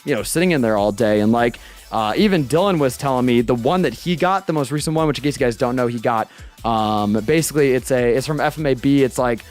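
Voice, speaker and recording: A twenty-year-old man chatting casually on a livestream, animated and swearing, close to a boom-arm studio mic in a small bedroom. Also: fast talker, soft background music. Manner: animated, swearing, talks with his hands. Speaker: streamer. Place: small bedroom streaming room with purple lights. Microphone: studio mic on boom arm, headphones.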